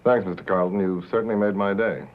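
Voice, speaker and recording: An actor playing a wry, disillusioned American radio reporter in a 1950s film drama, speaking in a terse, dry way. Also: male voice, deep voice, fairly even intonation, fast talker, narrow, tinny audio, lightly acted. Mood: sardonic. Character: wry, disillusioned male radio reporter, tough and self-possessed. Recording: thin, boxy old film soundtrack.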